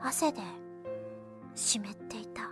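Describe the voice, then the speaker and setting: Woman as a high-pitched, guarded teenage schoolgirl. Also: slow, music in the background. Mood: flustered, stubborn. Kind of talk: anime-style voice drama with first-person narration.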